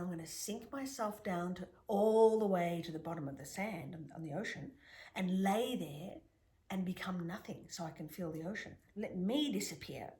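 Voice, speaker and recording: Woman, Greek first language, middle-aged, low-ish voice, expressive storytelling, slips into whispers. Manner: animated, emphatic, drops to soft whispers. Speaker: guest, spiritual healer. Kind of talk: reflective storytelling interview.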